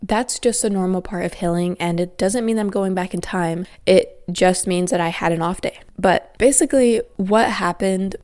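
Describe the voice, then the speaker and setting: A woman's voice: medium pitch, moderate pace, few pauses, darkish tone. A young woman hosting her podcast alone, talking in an earnest, grateful, reflective way while stuffy and congested from a cold. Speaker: podcast host. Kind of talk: casual solo podcast talk.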